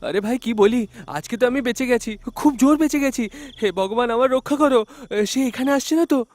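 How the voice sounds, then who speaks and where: A man's voice: very high voice, normal speed, a little mellow. A man playing a jumpy, superstitious autorickshaw driver in a folktale, exclamatory and given to muttering to himself. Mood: shaken, relieved.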